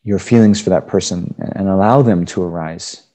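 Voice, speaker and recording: A man leading a guided meditation as a Buddhist teacher, giving unscripted instructions in halting phrases. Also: low-pitched, mellow.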